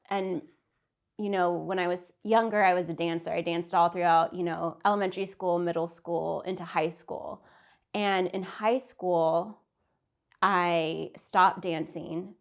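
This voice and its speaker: A woman in her thirties speaks as an interview guest in a slightly low, easy voice. She is chatty and informal, with filler words and light laughter. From Santa Fe, New Mexico, US. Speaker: interview guest, business owner. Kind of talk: casual interview.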